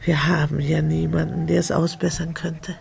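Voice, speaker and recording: Very creaky frail old grandmother. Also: flat delivery, darkish tone, a little hiss or hum. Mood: anxious, plaintive. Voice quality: creaky, low. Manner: slow, plaintive. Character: frail, anxious, kindly blind old grandmother.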